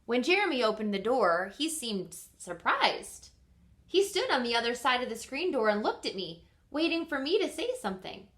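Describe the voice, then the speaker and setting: Animated, bright-voiced woman reading a storybook. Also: a little high. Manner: pauses to quiz listeners with simple questions. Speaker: teacher reading aloud to children. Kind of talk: expressive picture-book read-aloud.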